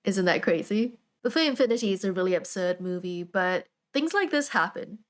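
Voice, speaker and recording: A woman's voice: medium pitch, expressive, brisk. A woman hosting a video essay, talking in an informal, conversational and wry way. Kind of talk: casual video essay commentary.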